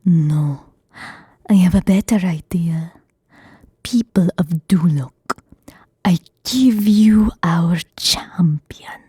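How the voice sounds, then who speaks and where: A woman voicing a pompous, scheming male lord who makes loud, commanding proclamations in a storybook reading. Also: on the low side, slow, husky, dry recording, studio quality, pitched below the performer's own voice, heavily acted.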